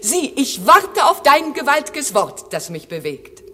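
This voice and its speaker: Radio drama casting: dutiful sprite, lyrical and swooping in pitch, heard in a long echoing hall. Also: female voice, takes it slowly, slight background noise, heavily acted. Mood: exultant, vivid. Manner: declamatory, lyrical, lively. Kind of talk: classic German radio drama, heightened verse delivery.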